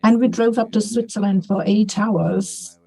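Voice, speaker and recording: An interpreter giving a simultaneous English interpretation at a university panel, halting, with fillers and restarts. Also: mid-range voice, fairly even intonation, gravelly, darkish tone, rough recording. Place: university faculty event.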